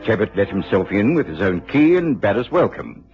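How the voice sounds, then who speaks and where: Low-voiced radio drama narrator. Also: male voice, sing-song, moderate pace, darkish tone, breathy edge, exaggerated voice. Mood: measured recounting. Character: companion of a detective, recounting the case. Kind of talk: scripted first-person radio drama narration. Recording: muffled radio recording with music.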